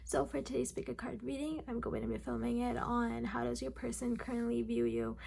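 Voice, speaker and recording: A young woman speaks with a hoarse, raspy voice, slightly high and gravelly, after losing her voice. She chats directly to her viewers through a casual tarot reading, filling pauses with ums, and faint noise sits under the recording. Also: little pitch movement, darkish tone. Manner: chatty, addresses viewers directly with frequent ums. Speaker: tarot card reader.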